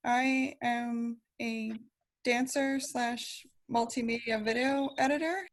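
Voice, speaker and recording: A woman's voice: a little high, steady tone, slow pace. A woman dancer and video editor introducing herself on a video call, plain and a bit hesitant. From central Pennsylvania.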